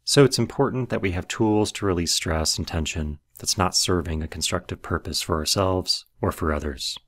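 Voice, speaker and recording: Low-pitched man guiding a meditation. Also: a bit bright. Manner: measured, instructional. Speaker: meditation guide. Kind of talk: guided meditation, scripted narration.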